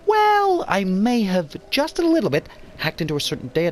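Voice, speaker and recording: A man voicing a cocky, jittery young male hacker on the run, talking fast and stumbling over his words. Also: on the high side, a little hiss or hum, pitched above the performer's own voice. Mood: wheedling. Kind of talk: amateur motion comic voice acting.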